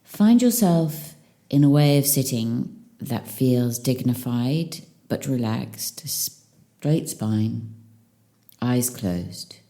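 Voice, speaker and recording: A woman leading a guided meditation as a teacher, speaking calmly and soothingly with long pauses between phrases. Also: extremely low pitch.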